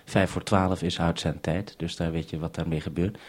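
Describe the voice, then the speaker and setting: A Dutch radio producer speaking on a radio link, calm and orderly, repeating notes back before signing off. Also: male voice, sits low, moderate pace, slightly rough. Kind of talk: radio call, businesslike. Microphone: radio transceiver.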